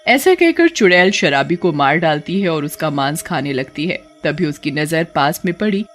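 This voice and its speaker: A woman's voice: low voice, animated, moderate pace, soft background music. A woman reading scripted story narration as the narrator.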